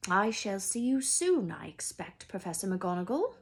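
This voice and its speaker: A woman playing a kindly old male wizard professor, calm and grave, in a storybook read-aloud. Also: medium pitch, normal speed, breathy edge. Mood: calm, resigned.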